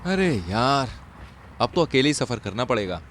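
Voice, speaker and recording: A man's voice: medium pitch, big pitch swings, normal speed, a bit bright. A man playing a chatty, easily frightened young traveller in a horror cartoon story. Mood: disappointed.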